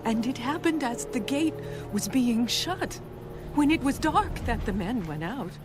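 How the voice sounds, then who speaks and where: A woman's voice: on the high side, lively intonation, unhurried. An actress playing a cunning woman in a dramatized audio Bible, dramatic and urgent, covering up a lie. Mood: feigned innocence. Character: woman innkeeper coolly lying to protect hidden men. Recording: music under the voice.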